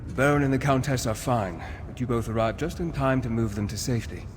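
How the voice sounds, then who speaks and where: An actor playing a noble, earnest war leader in a fantasy video game, formal and dramatic in delivery. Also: male voice, mid-range voice, fast talker, big-room sound, background noise, natural voice. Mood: solemn, reassuring.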